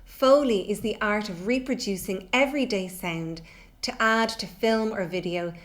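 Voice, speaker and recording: A middle-aged woman presenting a children's workshop in a clear, deliberate, expressive way. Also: medium pitch. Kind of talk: scripted lesson presentation for children. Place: small indoor room. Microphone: microphone on the desk close by.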